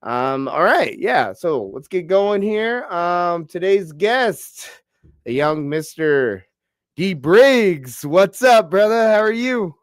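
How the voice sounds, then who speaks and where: Stuffy-nosed podcast host, smooth dry voice. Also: male voice, high-pitched, expressive, takes it slowly, no echo. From Pacific Northwest, US. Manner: laid-back, lots of fillers and "bro". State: stuffy from a cold. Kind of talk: casual interview chat.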